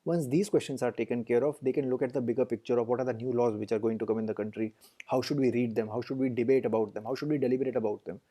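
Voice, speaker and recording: A man's voice: mid-range voice, fairly even intonation, very fast, mellow. A man with an Indian English accent, a lawyer and guest in an interview, speaking earnestly and listing points with many fillers; his first language is Hindi. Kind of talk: interview conversation.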